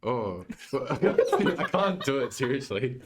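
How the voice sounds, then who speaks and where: A man's voice: medium pitch, very animated, slow, heavy background noise. A young man, a podcast guest, telling school stories in casual chat and laughing often.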